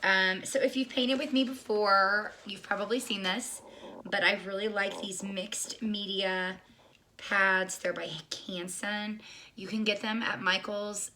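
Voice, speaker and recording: A woman with a scratchy, hoarse voice from being sick, casually talking through a painting tutorial with lots of ums and restarts. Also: lowish voice, pushed and bright. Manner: chatty, many ums and restarts. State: hoarse, recovering lost voice. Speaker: painting instructor.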